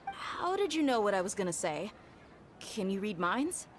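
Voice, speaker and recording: A woman playing a confident young mercenary swordfighter in a video game, direct, brisk and protective. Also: mid-range voice, big pitch swings, background noise, natural voice. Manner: direct, self-assured. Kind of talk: video game character acting.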